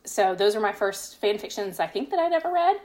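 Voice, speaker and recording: A woman's voice: medium pitch, sing-song, a little fast, darkish tone. A woman being interviewed as an author in a casual podcast chat, talking with gushing, emphatic enthusiasm. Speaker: interviewed fan fiction author.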